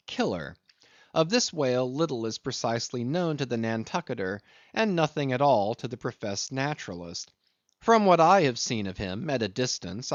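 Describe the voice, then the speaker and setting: A man reading a literary audiobook in a measured, expressive way with deliberate phrasing. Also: medium pitch, no echo, dull audio. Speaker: audiobook narrator.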